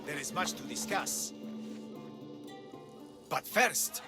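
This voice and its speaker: A man's voice: on the high side, animated, slow, over music. An actor playing a vain, flamboyant man who claims to be a god in a video game, pompous and theatrical. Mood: boastful. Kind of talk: video game cutscene acting.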